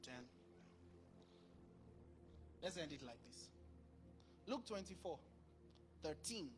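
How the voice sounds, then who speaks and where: Animated male pastor preaching on a church stage. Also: on the high side, slow, over music. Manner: animated, acts out dialogue, bursts of volume. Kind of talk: sermon. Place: large church stage with purple lighting. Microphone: handheld mic.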